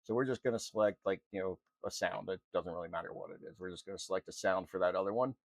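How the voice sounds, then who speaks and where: A man presenting an unscripted software tutorial in an informal, halting, step-by-step way. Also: deep voice. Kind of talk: unscripted screen-recorded walkthrough.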